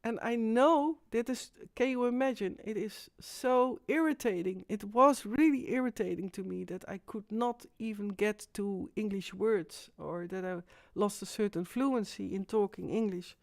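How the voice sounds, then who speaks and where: A Dutch woman hosting a live stream, talking in a rambling, halting way with frequent restarts. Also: medium pitch, darkish tone, dry recording. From Netherlands. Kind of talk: casual live stream monologue.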